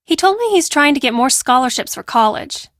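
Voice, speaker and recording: A woman playing a brash, defensive teenage girl who works as a high school hall monitor in a video game, with a sassy, dismissive and emphatic delivery. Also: lively intonation, fairly quick, a bit bright, clean studio sound. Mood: matter-of-fact. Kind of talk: acted video game dialogue.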